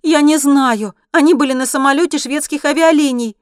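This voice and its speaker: A woman's voice: high voice, racing, no echo, clean studio sound, voice pushed up, lightly acted. A woman voicing a desperate, headstrong woman on the run, insistent and urgent, in an audiobook. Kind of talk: acted audiobook dialogue.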